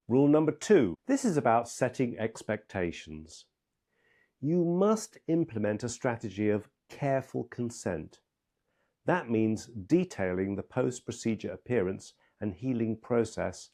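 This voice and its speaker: A man with a soft-edged, mellow voice at a middling pitch presents scripted instruction as a medical trainer. He speaks in a measured, step-by-step manner and leans firmly on key words. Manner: emphatic, measured, step-by-step delivery.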